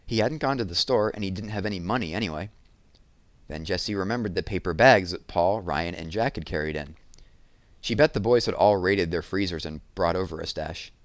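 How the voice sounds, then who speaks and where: A man's voice: low voice, a little fast, no echo. A man reading a children's novel aloud as a storytelling narrator.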